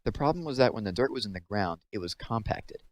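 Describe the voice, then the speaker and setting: A man with a fairly low voice reads a children's novel aloud. He is the narrator, delivering scripted prose as a story. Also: lively intonation, a little fast.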